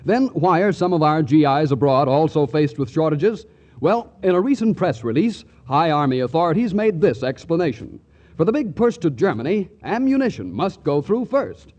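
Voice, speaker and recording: A man's voice: a little high, very animated, moderate pace, darkish tone. A man announcing a scripted 1940s radio advertisement in an emphatic, rhetorical style. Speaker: commercial announcer. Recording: dull old radio recording.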